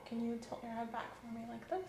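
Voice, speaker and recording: A woman in an ASMR roleplay as a nurse, giving calm, gentle clinical instructions and sometimes dropping into a whisper. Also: on the high side, fast talker, noisy background. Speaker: ASMR creator playing a nurse. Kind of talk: ASMR medical examination roleplay. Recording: roomy echo with clear background ambience.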